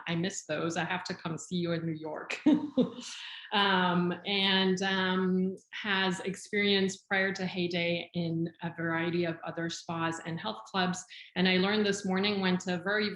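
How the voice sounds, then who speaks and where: A friendly, chatty woman presents a webinar over a video call, her voice sitting a little low for a woman. She fills her sentences with ums and uhs. The sound has a roomy echo. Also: a bit bright. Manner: friendly, chatty, frequent fillers. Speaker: skincare company head hosting a webinar. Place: video call from home or office. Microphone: video call microphone.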